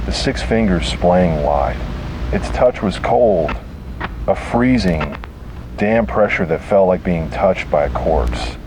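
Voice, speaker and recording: A man with a low, deep voice narrates a scripted horror story in the first person. He delivers it dramatically, in short and deliberate phrases. Clear rumbling background noise sits behind the voice.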